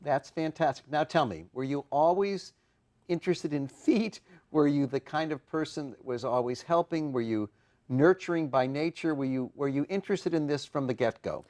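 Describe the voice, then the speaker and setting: A man's voice: fairly high pitch, normal speed, a little mellow. An older man hosting a studio talk show, speaking in a chatty way and asking strings of questions. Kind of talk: TV studio interview. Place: TV studio set.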